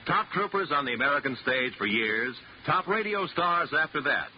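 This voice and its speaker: A male announcer from 1950s radio with a fairly high, very animated voice. He delivers scripted promos in period style. The old recording is slightly dull. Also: moderate pace. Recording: muffled, faintly noisy vintage radio recording.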